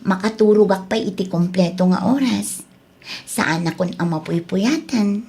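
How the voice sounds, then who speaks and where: An actress playing a young student daughter in a radio drama, earnest and emotive, with a slightly husky voice and a Filipino accent. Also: female voice, mid-range voice, moderate pace, a little mellow. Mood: earnest, apologetic. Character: young student daughter, remorseful, firm about breaking up. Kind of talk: Ilocano radio drama acting.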